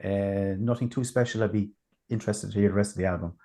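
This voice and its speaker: A man hosting a casual music review chat, talking in a relaxed, chatty way with plenty of fillers. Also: fairly low pitch, fast. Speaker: podcast host.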